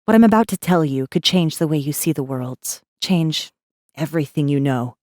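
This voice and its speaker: A woman's voice: low voice, lively intonation, normal speed, mellow, no room sound, clean studio sound. An actress playing a guarded, wry rebel messenger, narrating her own story in an intimate, wary, sardonic way for a space fantasy audiobook. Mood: urgent, firm. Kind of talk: first-person dramatic audiobook narration.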